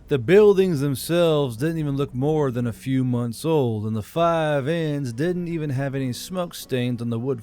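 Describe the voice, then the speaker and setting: A man's voice: mid-range voice, darkish tone. A man giving scripted narration of a fantasy audiobook.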